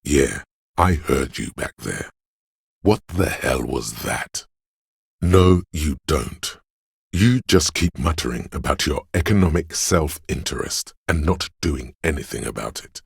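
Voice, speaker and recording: A man playing a slick, money-obsessed inner voice in a video game, a cajoling, flamboyant hype man with streetwise swagger and a gravelly delivery. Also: lively intonation, unhurried, dry recording, clean studio sound, heavily acted. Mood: scolding. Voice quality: gravelly, very deep. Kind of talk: theatrical video game character monologue.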